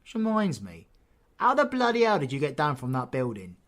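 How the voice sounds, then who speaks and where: A man's voice: a little high, huge pitch swings, fairly quick, fairly bright tone. A man with a British accent playing a cocky, foul-mouthed supervillain in an audio roleplay, full of flippant, mocking banter. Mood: smug teasing.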